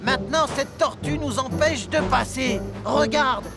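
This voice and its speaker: A man's voice: very high-pitched, a little slow, creaky, exaggerated voice. A man voicing a quarrelsome male engine brother in a cartoon, argumentative in tone. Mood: impatient. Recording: cartoon dub with music behind.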